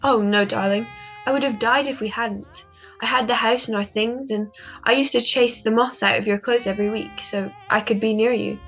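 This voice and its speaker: A woman playing a loving young wartime wife in a 1940s-style radio drama, affectionate and gently wry. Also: on the high side, steady tone, normal speed. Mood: tender, wistful. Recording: dull muffled audio, faint music.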